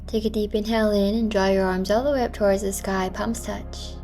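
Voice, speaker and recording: A woman teaching a yoga class, guiding movement and breath in a gentle, encouraging manner over music. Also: mid-range voice, flat delivery, fairly quick. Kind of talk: guided yoga class with meditative cues.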